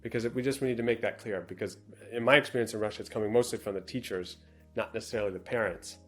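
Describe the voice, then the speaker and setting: This is a man co-hosting a relaxed talk show, running through a listener's letter at a fast clip. His voice sits a bit low, and he talks in a brisk, matter-of-fact way. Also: faint music behind. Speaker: co-host. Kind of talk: casual podcast chat, reading a viewer letter aloud.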